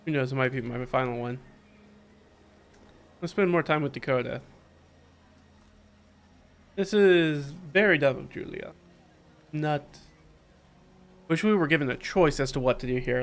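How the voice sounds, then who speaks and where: Casual male game streamer with creaky voice. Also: on the high side. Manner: informal, slangy, wry. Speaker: streamer playing a visual novel. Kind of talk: live playthrough commentary, mixing reading dialogue aloud with casual reactions. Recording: faint background music.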